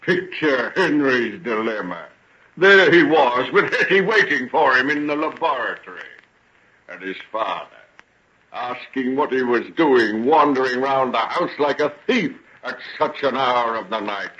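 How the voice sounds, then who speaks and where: An actor playing a sinister, mocking gentleman with elaborate politeness in an old radio drama. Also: mid-range voice, expressive. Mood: gloating narration. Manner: taunting, theatrical, drawn-out polite phrasing. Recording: dull, noisy old radio recording with clicks.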